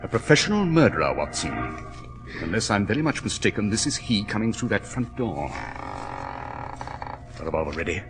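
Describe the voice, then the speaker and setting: A man playing a commanding, brisk, confident English gentleman detective, clipped and authoritative, in 1940s radio style. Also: on the low side, sing-song, over music. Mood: tense command.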